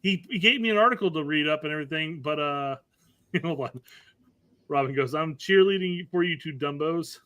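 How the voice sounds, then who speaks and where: A man's voice: on the high side, moderate pace, pushed and bright. A man with an American accent co-hosting a livestream in casual, folksy chat, relaying viewer comments. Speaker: co-host of a soccer livestream.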